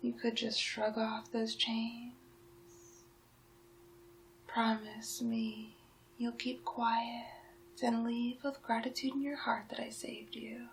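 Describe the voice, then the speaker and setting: A woman playing a sly, menacing town witch in a soft-spoken, whispered ASMR roleplay, murmuring deliberately. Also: flat delivery, a little hiss or hum, low-quality recording. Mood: menacing, coaxing. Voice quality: soft, breathy whispering mixed with low-volume speech.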